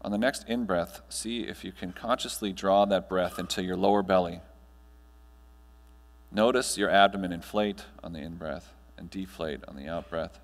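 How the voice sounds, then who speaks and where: A fairly deep-voiced American man in his thirties addresses a conference room as a keynote trainer. He gives measured, instructional guidance with deliberate pauses. His voice carries the reverb of a large hall. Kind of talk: guided breathing meditation and talk to an audience. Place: large hotel conference ballroom, on stage.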